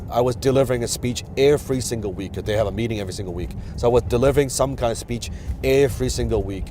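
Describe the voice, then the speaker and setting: A man's voice: on the low side, dark tone, slight background noise. A man from Hong Kong whose first language is Chinese, hosting a casual advice talk and telling his story with many fillers and restarts. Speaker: host. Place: in a car.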